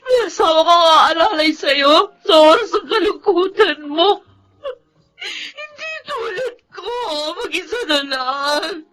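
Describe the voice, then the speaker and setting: A woman's voice: very high-pitched, expressive, slow pace, a bit bright, heavily acted. A woman playing a helpless, grief-stricken widowed mother in a melodrama, crying as she talks with a Filipino accent. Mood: grieving, self-pitying. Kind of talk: melodramatic radio-style drama acting. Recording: music behind the voice.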